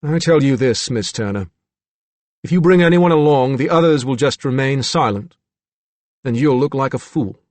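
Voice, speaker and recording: A man doing a crisp upper-class British gentleman. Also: on the high side, fairly even intonation, moderate pace, no room sound, voice pushed up, close to an everyday voice. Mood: disdainful. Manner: crisp, clipped, barely moving jaw. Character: stern aloof upper-class Englishman, disdainful.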